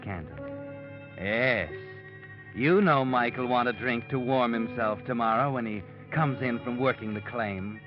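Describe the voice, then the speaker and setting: Male actor as a sly, taunting radio-drama narrator, lively voice, dull old recording, music under. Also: medium pitch, natural voice. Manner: insinuating second-person narration.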